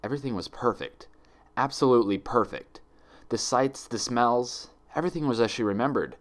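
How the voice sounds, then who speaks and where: A man narrating a written story in a deliberate, dramatic storytelling manner. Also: medium pitch. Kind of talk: scripted narration, dramatic fan fiction reading.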